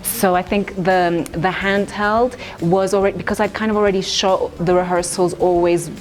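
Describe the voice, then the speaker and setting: A young woman director speaking in a thoughtful, measured way, with plenty of fillers, in a festival interview. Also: medium pitch, fairly even intonation. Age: young adult. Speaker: writer and director.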